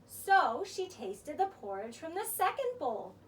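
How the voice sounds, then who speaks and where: Casting: female children's storyteller, theatrical, very high voice with lively swings in pitch, slightly off-mic. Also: unhurried. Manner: expressive, theatrical. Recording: distant mic with faint noise.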